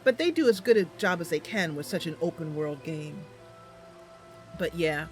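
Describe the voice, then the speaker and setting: A woman's voice: low voice, expressive, normal speed, soft background music. A woman hosting a gaming channel, casually commentating on her roleplay, thinking aloud and explaining as she goes.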